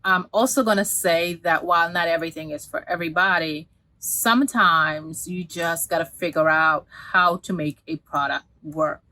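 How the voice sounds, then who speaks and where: A woman's voice: on the low side, fairly even intonation, moderate pace, pushed and bright, no room sound, poor audio. A woman, talking casually to camera as a beauty vlogger, rambling with many restarts and fillers. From Guyana.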